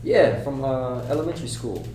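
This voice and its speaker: Man acting shy young guy, film table read, casual halting delivery, noisy room, echo. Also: mid-range voice, expressive, mellow, natural voice. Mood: casual, bashful. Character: easygoing, bashful young man, polite to elders. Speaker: actor at a script table read. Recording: echoey room with noisy hum.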